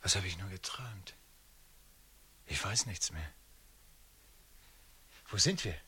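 A man's voice: low-pitched, expressive, slow, bright, forceful tone, breathy edge, heavily acted. A male actor playing a brooding, fatalistic merchant in a German radio play, speaking in a grave, dreamy and dramatic way. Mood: dazed, confused. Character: haunted merchant, grown man, brooding, fatalistic. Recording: vintage radio recording with faint noise.

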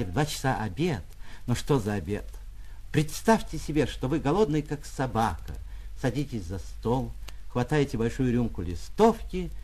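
A man, an actor, reading a literary short story dramatically, with a wry, expressive manner and savoured pauses. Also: medium pitch. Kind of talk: dramatic literary audiobook narration.

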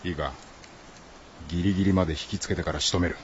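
An actor playing a gruff, rough-tongued, self-sacrificing adventurer in a radio drama, with coarse and blunt speech. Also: male voice, sits low, fairly even intonation, quick pace, a little hiss or hum, lightly acted. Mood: tense, hushed. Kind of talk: Japanese radio drama acting. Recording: dull band-limited audio under loud music.

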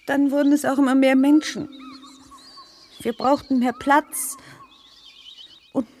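A woman's voice: sits high, little pitch movement, slow pace, a little mellow. An actress playing a distressed, plaintive woman trapped and accused in a radio play, speaking anxiously and pleadingly. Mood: apologetic. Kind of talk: German radio play acting.

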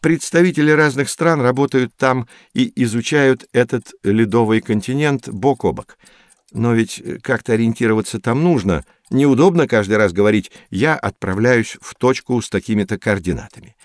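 A man with a medium-pitched voice presents an educational programme for children. He explains clearly and didactically, in a close, dry recording. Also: normal speed, dry recording. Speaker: host of an educational audio programme.

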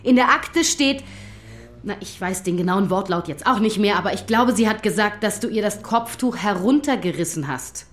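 A woman playing a middle-aged schoolteacher in a radio drama, patient but official, measured and formal. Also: medium pitch, animated, close to an everyday voice. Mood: firm, grave.

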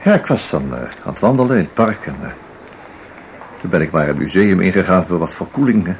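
A chatty, flustered everyman in a radio play. His very deep voice rises and falls in lively swoops as he hesitates, repeats himself and tacks on little questions. There is faint noise in the recording. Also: a little fast, poor audio. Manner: hesitant, rambling, full of repetitions and tag questions. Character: chatty, flustered ordinary man, an amateur art lover. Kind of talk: Dutch radio play acting. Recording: audible background noise.

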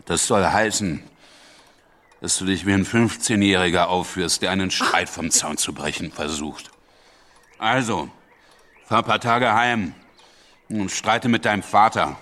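An actor playing a blunt, domineering, ambitious revolutionary leader, curt and scornful, in a radio drama. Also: male voice, low-pitched, a little slow, pauses often, bright, forceful tone. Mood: irritated, commanding.

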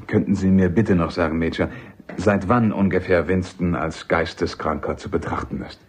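An actor playing a courteous but persistent army captain and defence lawyer in a German radio drama, speaking formally. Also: male voice, sits low, steady tone, normal speed, slightly rough. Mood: polite, inquiring. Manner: courteous, formal, deferential yet insistent.

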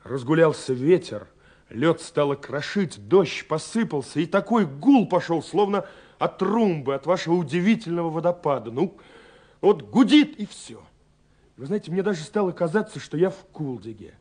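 A man's voice: on the high side, animated, moderate pace. An actor playing a romantic, dreamy young man who speaks his love letters in a lyrical, intimate radio play monologue. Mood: excited. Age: young adult. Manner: lyrical, intimate, swinging between hushed and exclaiming. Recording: slightly husky-sounding 1979 radio recording. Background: soft music.

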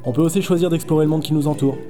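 A man's voice: a little high, very fast, mellow, faint music behind, close to an everyday voice. A man playing a disillusioned but principled insurance adviser and gamer, world-weary and plain-spoken, in an audio drama. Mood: friendly, explaining. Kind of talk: scripted audio-drama acting and narration.

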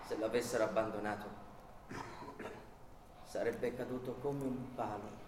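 A man giving dramatic literary narration in a radio play, with measured, incantatory phrasing. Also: on the low side, steady tone, background noise. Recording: roomy echo with music underneath.

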